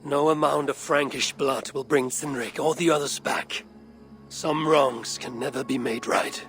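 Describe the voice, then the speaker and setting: Solemn, steadfast Viking warrior. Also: male voice, medium pitch, steady tone, a bit bright, soft background music. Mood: earnest, pleading. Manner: measured, earnest, solemn. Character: steadfast Viking warrior, calm counselor urging peace. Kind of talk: dramatic video game acting.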